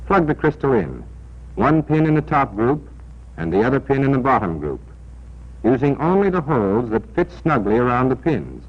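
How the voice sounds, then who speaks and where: An American man narrating a 1940s military training film in a scripted, deliberate, instructional and emphatic manner, on an old film soundtrack. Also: medium pitch, very animated. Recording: dull, band-limited 1940s film soundtrack.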